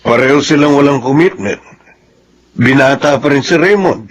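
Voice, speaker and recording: Husky, expressive male actor as pragmatic friend. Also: mid-range voice, moderate pace, natural voice. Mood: thoughtful. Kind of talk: radio drama acting.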